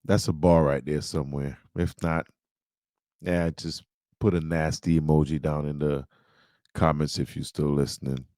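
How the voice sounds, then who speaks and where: Very deep-voiced man, casual. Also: level tone, moderate pace, mellow, no echo, studio quality. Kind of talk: casual chat.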